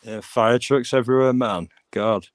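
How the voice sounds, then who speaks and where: A man's voice: mid-range voice, fairly even intonation, normal speed, smooth. A man with a Lancashire accent chatting casually on a video call panel, musing and repeating words as he thinks. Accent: Lancashire English. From England.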